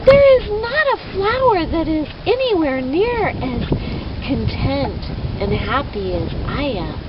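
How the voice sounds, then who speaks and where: A woman voicing a gentle, contented little flower in a children's story, earnest and simple. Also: high voice, exaggerated voice. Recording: muffled, noisy amateur recording.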